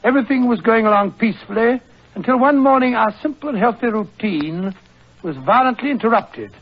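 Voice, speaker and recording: A blustery middle-aged British gentleman doctor in a 1940s radio drama. His voice is high for a man and easily rattled, heard through a dull, muffled old broadcast recording. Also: expressive, brisk, full character voice. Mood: grave reminiscing. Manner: blustery, old-fashioned storytelling.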